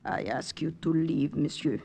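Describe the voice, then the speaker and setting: Creaky, imperious Frenchwoman. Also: low-pitched, a little slow, mellow, heavily acted. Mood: indignant. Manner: haughty, commanding, dry. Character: imperious, shrewd older Frenchwoman, secret resistance agent. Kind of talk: 1940s radio acting.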